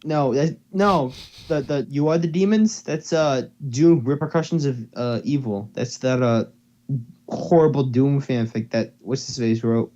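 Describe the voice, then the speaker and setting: A slightly muffled online-call recording of a man with a mid-pitched voice. He reads and hosts amateur fan fiction in a casual, unpolished way. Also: a little slow, mellow. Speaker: narrator and co-host. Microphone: computer or headset mic on a voice call.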